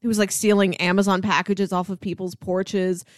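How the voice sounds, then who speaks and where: A woman's voice: mid-range voice, little pitch movement, normal speed, no echo, studio quality. A woman co-hosting a podcast, discussing television in a casual, conversational review style. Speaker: podcast co-host.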